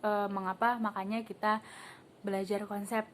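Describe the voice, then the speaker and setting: A woman's voice: mid-range voice, little pitch movement, normal speed, slight background noise. Young woman teaching a chatty class. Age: young adult. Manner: asks the class questions and calls on students by name; casual filler words. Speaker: student teacher. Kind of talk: peer-teaching classroom lesson.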